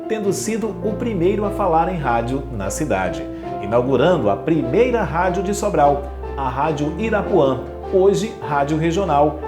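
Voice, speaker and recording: Brazilian male narrator, scripted documentary read, lively higher-set voice, guitar music behind, roomy echo. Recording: reverberant voice-over over guitar music.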